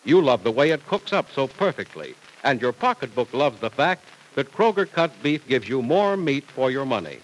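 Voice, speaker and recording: Casting: male announcer for vintage radio, emphatic scripted sales pitch, mid-range voice with big melodic swings. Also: very animated, moderate pace, poor audio.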